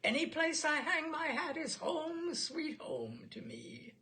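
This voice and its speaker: A woman's voice: high voice, wildly swooping pitch, slow, bright, forceful tone, voice pushed up, heavily acted. A woman reader playing a smug, pompous man of about forty, a professional cliché expert testifying in a comic story. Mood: smug, obliging. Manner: pompous, pat answers.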